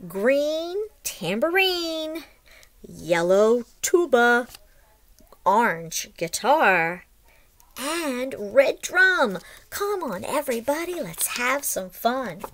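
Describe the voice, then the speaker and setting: A woman in her forties reads aloud for young children with a high, widely swinging pitch. She takes her time, in an expressive, sing-song teacher's delivery. The sound is that of a webcam microphone in a small room at home. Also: drawn-out words, slight background noise. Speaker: teacher reading a picture book aloud.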